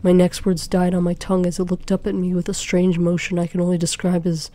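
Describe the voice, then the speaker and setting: Mellow, even-toned woman narrating fiction. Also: lowish voice, brisk. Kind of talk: dramatic first-person story narration. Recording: faint background noise.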